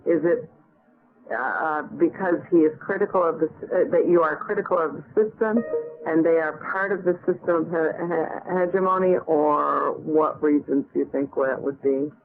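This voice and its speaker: A man's voice: on the high side, normal speed, creaky, soft background music. A man hosting a radio call-in, reading listener questions with stumbles and self-corrections. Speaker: radio host. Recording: lo-fi old radio recording.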